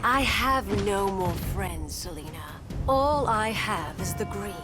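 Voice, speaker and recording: Seductive female game villain: unhurried, sultry, mocking, cold, vengeful, set against loud dramatic music. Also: mid-range voice, lively intonation. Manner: slow, sultry, mocking delivery. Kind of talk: video game cutscene acting.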